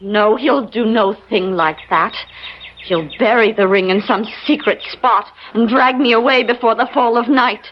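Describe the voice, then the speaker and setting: An actress playing a defiant young settler daughter with rustic old-fashioned speech in an old radio drama. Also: female voice, a little high, unhurried, a little hiss or hum, lightly acted. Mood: pleading. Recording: muffled old radio recording.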